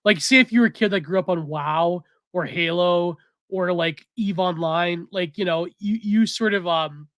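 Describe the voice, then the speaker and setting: A man's voice: high voice, moderate pace, hardly any pauses, fairly bright tone, no echo, highs cut off. A congested man co-hosting a podcast in loose, rambling casual chat. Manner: loose and rambling, reads donor messages aloud. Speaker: podcast co-host.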